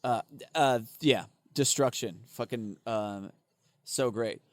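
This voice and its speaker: A man co-hosting a podcast in casual banter, excitable and profane, with lots of stammered restarts. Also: medium pitch, takes it slowly, no echo. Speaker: podcast co-host.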